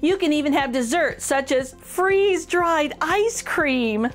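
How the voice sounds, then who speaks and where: A woman with a high voice reads a children's picture book aloud. Her intonation swings in a lively way, encouraging and emphatic as she speaks straight to young listeners. Faint music plays behind her. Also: takes it slowly. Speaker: children's storytime reader.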